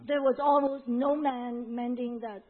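A woman with a Hong Kong accent interprets a sermon, passing each phrase along with small fillers. Her voice is husky and somewhat high. The audio sounds thin, like a phone. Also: steady tone, narrow, tinny audio. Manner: relays each phrase quickly, with fillers and restarts.

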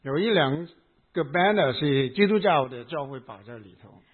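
A man with a Hong Kong accent preaches a sermon in short phrases, leaving pauses for his interpreter. His voice is somewhat high and husky. The sound is thin and phone-like. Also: expressive. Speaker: pastor.

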